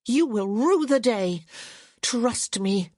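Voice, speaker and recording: A woman voicing a proud, sharp-tongued young noblewoman in an audiobook, her speech haughty, clipped and cutting. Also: a little high, lively intonation, slow, no echo, studio quality, voice pushed up. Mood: furious. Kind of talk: audiobook character voice.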